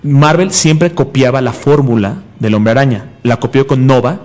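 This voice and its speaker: A man's voice: medium pitch, moderate pace, a little mellow. A man of twenty-five in a heated, casual debate as a comics show host, emphatic and argumentative, often repeating himself and cutting in.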